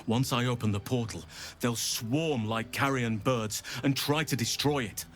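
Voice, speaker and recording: An actor playing a solemn, resolute druid healer in a fantasy video game, speaking in a measured, commanding way. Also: male voice, medium pitch, fairly bright tone, slight background noise, close to an everyday voice. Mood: resolute, urgent.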